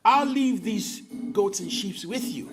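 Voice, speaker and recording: Man playing a high-voiced, clever storybook goatherd. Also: animated, noisy background. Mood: persuasive. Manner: measured, polite, persuasive. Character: calm, clever male goatherd outwitting a predator.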